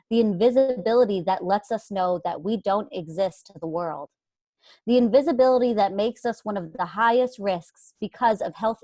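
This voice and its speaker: A woman's voice: mid-range voice, warm tone, dry recording. Solemn woman reading, very dry sound. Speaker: actor reading a memorial piece. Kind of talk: solemn dramatic reading with repeated phrases.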